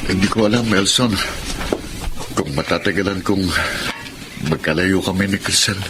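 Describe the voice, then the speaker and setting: A man's voice: deep voice, normal speed, loud music behind the voice, close to an everyday voice. An actor playing a troubled, downcast man in a radio drama. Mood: worried, sad. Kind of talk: Tagalog radio drama acting.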